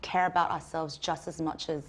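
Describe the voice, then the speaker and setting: A woman's voice: low-pitched, steady tone, moderate pace. A young woman talking casually as a panelist in a TV studio discussion. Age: young adult.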